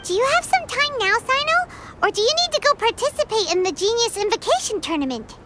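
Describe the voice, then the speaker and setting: An actress voicing a tiny, chatty, excitable girl companion who talks about herself in the third person, in a game cutscene. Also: fairly quick, a little hiss or hum, full character voice. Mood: chirpy. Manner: refers to herself in third person, squeaky and animated. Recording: game cutscene with loud music.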